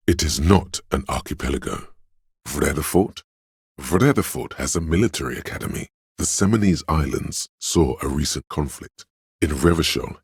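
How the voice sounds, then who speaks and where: A man voicing an erudite inner voice of encyclopedic knowledge in a video game, narrating lore in a measured, dryly sardonic manner with a gravelly, husky tone. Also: rumbling low, no echo, clean studio sound, exaggerated voice.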